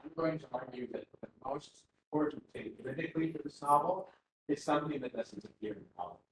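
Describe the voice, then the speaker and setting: A man's voice: a little high, expressive, quick pace. Man, academic speaker, hesitant restarts, roomy echo, faint room noise. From Finland. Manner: hesitant, many fillers and restarts. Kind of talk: conference talk. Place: university seminar room. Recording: roomy hall with faint ambience.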